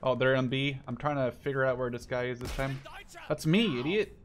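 A man's voice: mid-range voice, fairly quick, a little hiss or hum. Young guy narrating his match, chill mid voice, faint gunfire behind. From Netherlands. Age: 20s. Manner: upbeat intro, then chatty and reactive while playing. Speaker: gaming YouTuber. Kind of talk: casual gameplay commentary.

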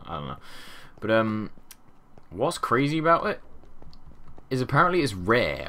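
A young man with a very deep, low voice and a sore throat, rambling casually over his gameplay. His pitch swings widely, rising when excited and dropping to mumbles. Keyboard clicks and steady noise sit behind his desk-mic recording. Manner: rambling, chatty, drops to mumbles. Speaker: gaming channel host.

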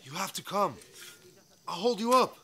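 Woman acting a gruff, low-voiced father. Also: lively intonation, normal speed, noisy background, natural voice. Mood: firm. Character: gruff, firm farmworker father, quoted by daughter.

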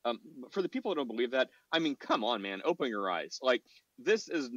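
A man's voice: medium pitch, very animated, brisk, a bit bright, no room sound. A man hosting a casual podcast discussion, asking long rambling questions in a teasing manner. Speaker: podcast host. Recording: dull dry lo-fi mic.

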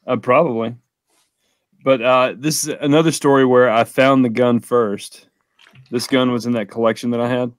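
A man in his forties chatting casually and haltingly about collecting, laid-back, close to a desk microphone. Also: medium pitch, fairly even intonation, no echo. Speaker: toy collector on a panel show. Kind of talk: casual chat. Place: small room full of shelves and boxes, indoors. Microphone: close desk microphone on video call.

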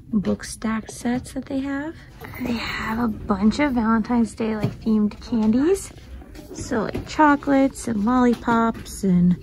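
A woman's voice: fairly high pitch, vocal fry. A woman in her thirties filming herself in a shop and chatting casually about the cute items she finds. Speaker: vlog host. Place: inside a shop. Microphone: handheld phone camera. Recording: noisy shop background.

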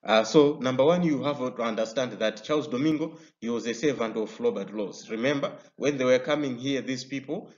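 A man with a Malawian English accent teaching a recorded history lesson over slides. He explains step by step and often pauses on "uh". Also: mid-range voice. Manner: explanatory, many "uh" fillers and restarts. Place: indoor room. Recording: slightly dull lo-fi sound.

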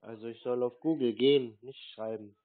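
A husky-voiced man on a prank phone call, deadpan and playing naive, with stilted, pompous wording. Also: mid-range voice, fairly quick. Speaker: prank caller baiting phone scammers. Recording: muffled telephone-like sound.

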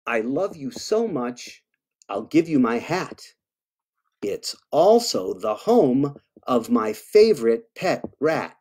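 A doting, gross-minded monster sweetheart from a children's picture book, as a man reads its lines aloud. It recites its rhymes slowly, in a sing-song way, with a fond, warm manner. Its voice sits in a middle pitch. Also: big pitch swings. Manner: slow, sing-song rhyming delivery.

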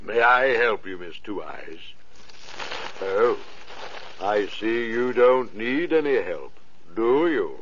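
A man playing a dutiful royal counselor, deferential and measured, in a 1940s radio play. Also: on the low side, animated, fairly bright tone. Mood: polite. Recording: muffled old radio broadcast, faint hiss.

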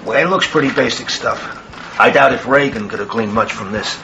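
An actor playing a sceptical, level-headed Jesuit priest in a radio drama, terse and dry. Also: male voice, mid-range voice, moderate pace, dull audio, background noise, natural voice.

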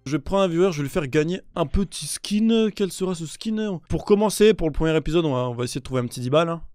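A young man streaming a shooter game on a headset mic, chatting excitably with his viewers and swearing often. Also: on the high side, fast, dry recording, faint music behind. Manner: excitable, swears a lot, talks to chat. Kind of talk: live gaming stream commentary. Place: indoor room with a gaming chair.

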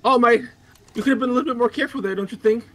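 A man's voice: very high voice, rapid-fire, a bit bright. A man voicing a meek yet cheeky teenage anime hero in a joke fan dub, exaggerated, apologetic and teasing. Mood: apologetic, pleading. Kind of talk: improvised joke fan dub while gaming.

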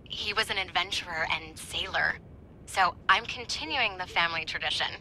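An actress playing an eager, earnest young historian with a bookish enthusiasm in a video game. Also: female voice, fairly low pitch, moderate pace, sharp tone, a little hiss or hum, low-quality recording. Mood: earnest, admiring. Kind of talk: video game cutscene acting.

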